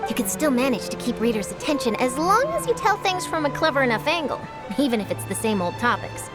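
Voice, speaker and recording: A woman's voice: high voice, sing-song, fast talker. A woman playing an ambitious, bubbly young newspaper reporter, perky and theatrical, in an anime game. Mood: confident, boastful. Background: music.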